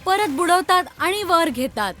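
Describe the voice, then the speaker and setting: A woman's voice: very high-pitched, sing-song, a little slow, fairly bright tone, faint music behind. A woman narrator reading a scripted story for children.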